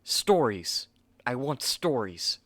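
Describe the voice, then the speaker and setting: A man's voice: mid-range voice, sing-song, slow, a bit bright, no echo. A man playing a haughty, fussy young manga artist in an ASMR roleplay, speaking curtly and articulately to the listener on a close mic. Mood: haughty, inquisitive.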